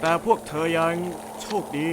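A man dubbing a cocky, smooth-talking male adventurer ally, with a breezy, flippant manner. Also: moderate pace, close to an everyday voice. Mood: reassuring. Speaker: fan voice actor dubbing a game. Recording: game ambience noise behind voice.

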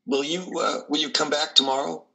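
An older actor, over sixty, playing a kindly, reclusive priest in a play reading, gentle and hesitant. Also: male voice, on the high side, level tone, a little fast, gravelly, low-quality recording. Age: older, 60s or over.

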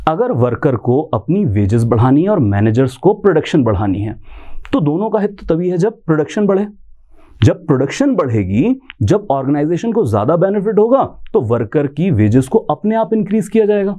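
A man's voice: fairly high pitch, sing-song, normal speed, dark tone. A man in his thirties with an Indian accent, lecturing in an explanatory, conversational way with emphasis and rhetorical questions, mixing in English terms. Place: indoor teaching studio in front of a screen.

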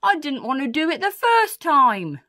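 A woman voicing a cheerful, kindly male burglar in a children's storybook, speaking with drawn-out, emphatic, ungrammatical working-class phrasing. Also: high voice, very animated, sharp tone, dry recording, clean studio sound, pitched above the performer's own voice, full character voice. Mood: friendly.

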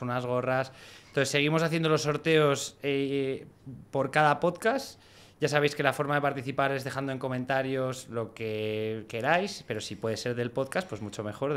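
A young man with a smooth, clear voice introduces his podcast in a relaxed, halting manner, leaning on fillers as he goes. He talks into a boom-arm studio mic. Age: young adult. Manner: hesitant, many fillers, friendly. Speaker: podcast host. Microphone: studio mic on a boom arm, with headphones.

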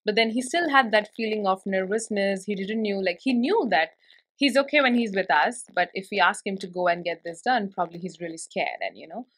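Bright-voiced woman podcast host. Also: mid-range voice, a little fast. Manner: chatty, laughs easily, long run-on questions. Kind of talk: casual interview chat.

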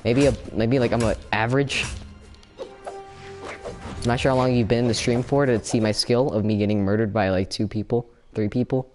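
Young man, casual game commentary, chatty, sudden yelps, faint background music. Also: medium pitch. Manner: chatty, excitable, quick yelps and laughs. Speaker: streamer. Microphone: no camera, desk mic.

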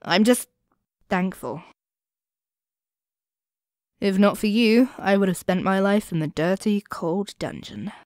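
A woman playing a cocky young commoner thief in an expressive, sardonic audio roleplay, speaking straight to the listener. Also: mid-range voice, takes it slowly, long pauses, no room sound, clean studio sound, close to an everyday voice. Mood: apologetic, softened. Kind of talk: audio roleplay acting, one-sided dialogue addressed to the listener.

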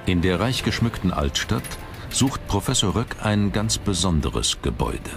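A man reading scripted documentary narration with measured, dramatic phrasing. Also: low-pitched, big pitch swings, over music.

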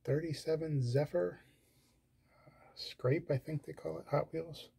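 A man casually narrating a tour of his collection, listing items in a slow, low-key way with pauses and fillers. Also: fairly even intonation, gravelly, mellow, slightly rough.

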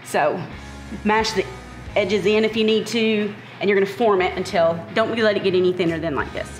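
A woman's voice: mid-range voice, moderate pace. A woman walks a beginner through a recipe with patient, encouraging instructions. Her voice carries a noticeable room echo, and music plays underneath. She talks in an easy, practical way. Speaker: cooking instructor. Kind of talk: step-by-step cooking demonstration. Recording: roomy kitchen sound with background music.

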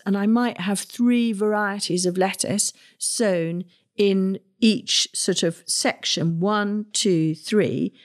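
Chatty woman, low-pitched. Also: darkish tone. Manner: chatty, practical, with restarts and asides. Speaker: podcast host and gardener. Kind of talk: casual solo podcast talk.

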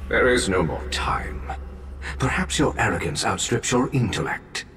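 A man playing a stern, commanding villain in a video game, formal, measured and threatening. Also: medium pitch, animated. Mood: cold threat. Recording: loud background ambience noise.

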